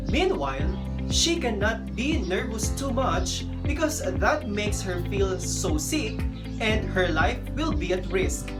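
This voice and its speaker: A woman teacher giving scripted, instructional narration for a video lesson. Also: mid-range voice. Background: music.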